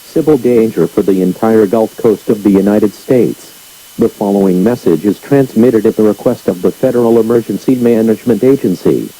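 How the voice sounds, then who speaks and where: A man's synthetic-sounding voice as an emergency alert announcer, reading stiffly and impersonally, muffled and husky. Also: lowish voice, normal speed, warm tone, slight background noise, close to an everyday voice. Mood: impersonal, grave. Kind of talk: scripted emergency broadcast reading.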